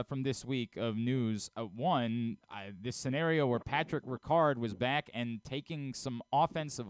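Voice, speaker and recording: Smooth-voiced male sports radio host. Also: mid-range voice, no room sound. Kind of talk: sports talk interviewing.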